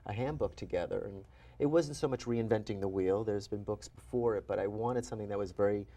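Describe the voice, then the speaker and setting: A man in his forties from New York with a low, mellow voice, chatting as a doctor-guest in an interview. He talks in an animated, self-deprecating way and laughs at himself. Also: brisk. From New York, USA. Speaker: guest physician and author. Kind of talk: interview conversation.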